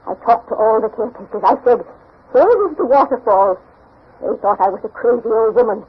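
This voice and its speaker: A dull old radio recording of an anxious, brooding old woman. Her voice is high and husky. She tells her eerie tale solemnly, in the manner of a 1940s radio mystery. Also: lively intonation, brisk. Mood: fearful, urgent. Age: older. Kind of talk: 1940s radio drama acting, haunted first-person narration.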